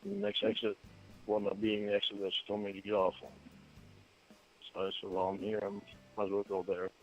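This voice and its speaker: Halting, low-voiced man on a dull recording. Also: gravelly. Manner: halting, repetitive, explanatory. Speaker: suspect being questioned. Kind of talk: conversational interview answers. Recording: dull lo-fi recording with faint music.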